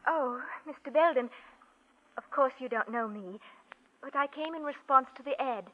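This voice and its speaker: Actress as a high-voiced, hesitant young woman. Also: moderate pace, close to an everyday voice. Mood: polite, hesitant. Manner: apologetic, courteous, hesitant. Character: polite, hesitant young woman seeking a housekeeper job. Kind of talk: 1940s radio acting. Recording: dull vintage radio recording.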